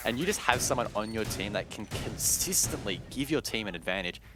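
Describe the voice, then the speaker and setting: A man's voice: fairly low pitch, animated, brisk, music in the background. A man giving live, analytical play-by-play commentary on a competitive video game match in a wordy style. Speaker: esports commentator. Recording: gunfire and clicks behind the voice. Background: video game gunfire.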